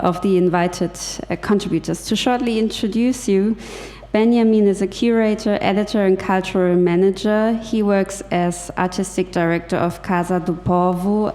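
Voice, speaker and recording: Female symposium host, mellow tone, introduces the speaker in a reverberant gallery space. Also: lowish voice. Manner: reads a careful introduction with fillers.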